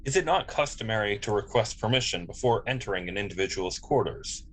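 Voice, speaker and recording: A man's voice: lowish voice, animated, fairly quick, fairly bright tone. Man acting a formal android officer, noisy recording. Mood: calm, explanatory. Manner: formal, precise wording. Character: polite, logical male android officer, calmly dignified. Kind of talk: science fiction script table read. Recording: clear background noise, room ambience.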